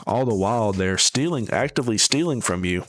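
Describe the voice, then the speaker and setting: A man's voice: lowish voice, lively intonation, a little fast, fairly bright tone, no room sound. A man giving casual reaction commentary in a blunt, scornful manner. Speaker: online commentator.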